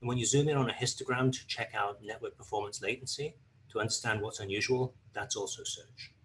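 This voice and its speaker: A man gives a steady, explanatory webinar overview of a software product in a lowish voice. Faint noise sits behind him. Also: brisk, poor audio. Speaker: webinar presenter.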